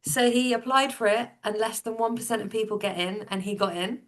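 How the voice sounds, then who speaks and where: A woman's voice: on the high side, fairly even intonation, fairly quick. A woman guest on a podcast, telling her own story in a casual, matter-of-fact, informal way.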